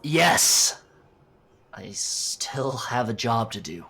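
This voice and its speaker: Audio drama agent, male actor, mid-range voice, slow and hesitant, nervous interviewer out of his depth. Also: medium pitch, animated, sharp tone, heavily acted. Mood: nervous, dutiful. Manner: hesitant, flustered, muttering, throat-clearing. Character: anxious, underprepared male agent interviewing dangerous entities. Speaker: field interviewer. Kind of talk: scripted audio drama dialogue.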